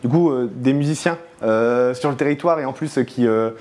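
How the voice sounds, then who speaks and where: A male host with a medium-pitched voice runs a casual interview in a studio. He speaks informally, in an encouraging, conversational way. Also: moderate pace, darkish tone. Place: indoor studio.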